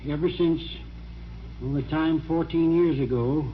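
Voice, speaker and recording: A man's voice: a little high, steady tone, a little slow, natural voice. An actor playing a good-hearted, homespun middle-aged townsman in an old-time radio drama. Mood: earnest, explaining. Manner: homespun, colloquial. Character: folksy, good-hearted middle-aged small-town man, doctor's friend. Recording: dull vintage radio recording, faint hiss.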